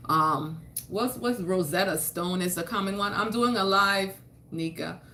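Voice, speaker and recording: Bright-voiced homeschooling mom chatting. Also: female voice, medium pitch, a little slow, slight background noise. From Haiti. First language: French. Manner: chatty, restarts and repeats words. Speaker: homeschooling mother and vlogger. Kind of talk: casual chat to camera.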